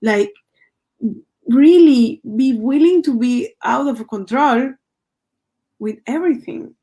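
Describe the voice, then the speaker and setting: A woman hosts a casual podcast chat, fast and effusive, full of exclamations of wonder. Her voice sits a bit high. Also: mellow. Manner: enthusiastic, quick, with frequent wows. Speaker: podcast host. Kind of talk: casual interview.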